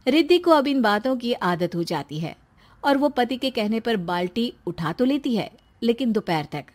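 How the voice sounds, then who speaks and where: A woman's voice: a little high, big pitch swings, normal speed. A woman narrator reading a scripted story.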